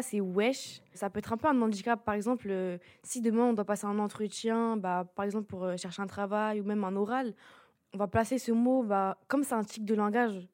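A teenage girl, a high school student, speaking casually with many hesitations in a student podcast. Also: a little mellow. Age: teens. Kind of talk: recorded podcast testimony.

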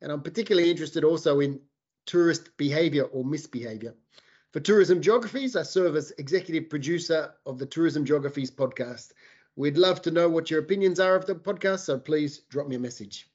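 A man professor who is the podcast's executive producer, introducing himself in a brisk, businesslike way. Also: fairly high pitch, lively intonation, a little mellow, breathy edge, muffled recording. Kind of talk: casual self-introduction.

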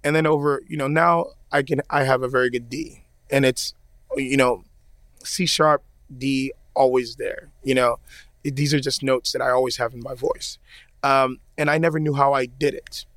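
A man's voice: mid-range voice, moderate pace, no room sound. A man, an opera tenor, chatting casually in an interview, rambling with many fillers. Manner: relaxed, rambling, many "you know" fillers.

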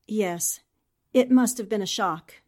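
A woman's voice: mid-range voice, slow, a little mellow. An actress playing a determined, composed newspaperwoman who probes with sympathy, in 1940s-style radio drama. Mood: sympathetic, probing.

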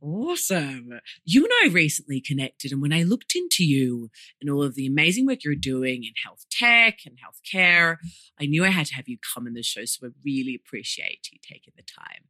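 A woman's voice: low-pitched, big pitch swings, moderate pace, bright, forceful tone, no echo. A woman hosting a podcast, speaking in an upbeat, emphatic way straight to her listeners. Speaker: podcast host. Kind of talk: scripted podcast introduction and interview hosting.

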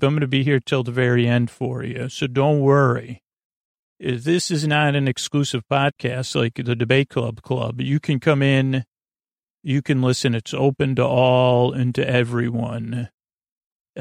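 Male podcast host, creaky voice, close dry sound; whimsical, halting bedtime rambler who wanders off mid-sentence. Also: mid-range voice, no room sound. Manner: meandering, halting, trailing off mid-thought; goofy, whimsical. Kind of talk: rambling sleep podcast monologue.

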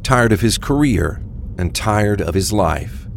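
A man's voice: on the low side, expressive, slow pace, vocal fry, slight background noise. A man narrating a scripted crime audio drama.

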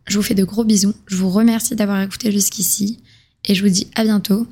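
Twenty-something girl from the south of france chatting solo on her podcast, pretty flat delivery, laid-back and confiding. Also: mid-range voice, a little fast, slightly rough. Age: 20s. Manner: casual, confiding, slangy. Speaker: podcast host.

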